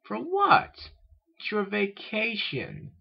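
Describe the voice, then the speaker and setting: Gentle, reassuring female unicorn, a caring big sister played by a man, lifted pitch, storybook reading, lo-fi sound. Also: wildly swooping pitch, takes it slowly, gravelly, slightly rough, full character voice. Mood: puzzled. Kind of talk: fan fiction read aloud with character voices.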